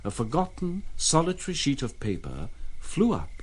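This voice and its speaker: A man's voice: fairly high pitch, huge pitch swings, normal speed, slightly rough. A man narrating a literary story in the manner of a dramatised audiobook.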